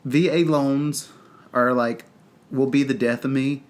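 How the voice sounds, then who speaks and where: A man's voice: medium pitch, fairly even intonation, unhurried. A man with a Southern US accent, congested and stuffy-nosed, chatting casually as a podcast co-host in a rambling way. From North Carolina, US. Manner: rambling, chatty, lots of fillers. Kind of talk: casual chat.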